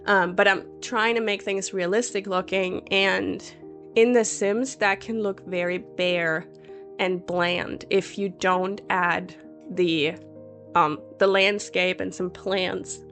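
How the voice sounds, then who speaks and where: A woman, around her thirties, with a hoarse voice after a cold, chatting casually and rambling with many fillers over a video game build. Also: mid-range voice, steady tone, slow, a bit bright, faint music behind. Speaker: Sims builder and YouTuber.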